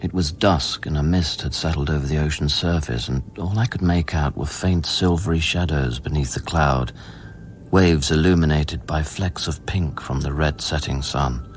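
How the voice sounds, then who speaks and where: An actor playing an earnest scientist on a space station in a radio play, brooding and deliberate in narration, urgent when questioning. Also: male voice, rumbling low, a little hiss or hum. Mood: brooding, reflective. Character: earnest male scientist newly arrived on space station, recounting events. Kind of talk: radio drama acting with first-person narration. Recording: music behind the voice.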